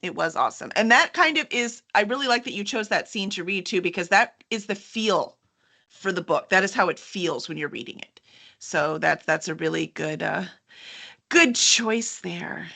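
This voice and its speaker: Woman host for a book promo chat: bright, mid-pitched, informal and enthusiastic. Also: lively intonation, normal speed, vocal fry.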